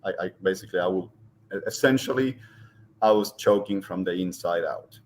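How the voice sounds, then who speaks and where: A middle-aged man from Argentina with an Argentine Spanish accent, speaking as an interview guest and pausing often with ums and restarts. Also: low-pitched, slight background noise. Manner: hesitant, many ums and restarts. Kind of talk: casual interview talk.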